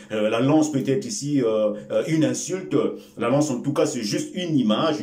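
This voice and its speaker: A man in his forties whose first language is Bembe, speaking slowly and emphatically to the camera with many filler sounds and repeated words as he gives a life lesson. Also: fairly low pitch, darkish tone. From Congo. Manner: gestures with both hands while speaking. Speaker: life-lesson video host. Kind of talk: motivational talk to camera. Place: small indoor room before a curtain. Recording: echoey room.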